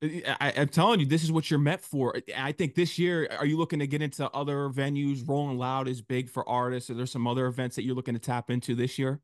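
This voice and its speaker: A man's voice: mid-range voice, flat delivery, fast talker, few pauses, dry recording, studio quality. A man interviewing a guest casually as a show host, in an encouraging, affirming way.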